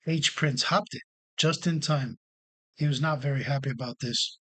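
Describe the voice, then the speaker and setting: A man's voice: mid-range voice, normal speed, fairly bright tone. A man narrating a children's storybook as a read-along storyteller.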